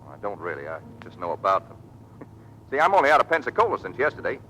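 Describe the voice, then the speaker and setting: An actor playing an earnest, boyish young Navy rookie pilot, polite and eager, in old radio drama style. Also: male voice, on the low side, wildly swooping pitch, fast, sharp tone, exaggerated voice. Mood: excited, bashful. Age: young adult. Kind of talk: 1940s radio acting. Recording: faintly noisy vintage radio recording.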